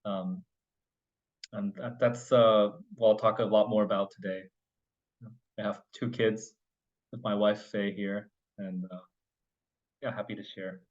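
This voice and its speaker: A man in his forties from Oklahoma speaks as a panelist on a video call. His voice is low and the call audio sounds dull. He talks in a relaxed, thoughtful way with small restarts. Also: a little slow, long pauses. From United States (Oklahoma).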